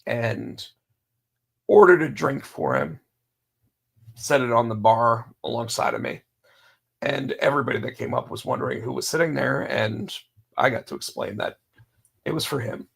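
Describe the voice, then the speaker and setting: Guy hosting his podcast solo, gravelly voice that's going hoarse, keeps clearing his throat, lots of ums and uhs. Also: mid-range voice, sing-song, moderate pace, long pauses, a bit bright. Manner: rambling, frequent fillers and restarts, throat clearing. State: losing his voice, under the weather. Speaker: podcast host. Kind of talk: solo casual wrestling podcast talk.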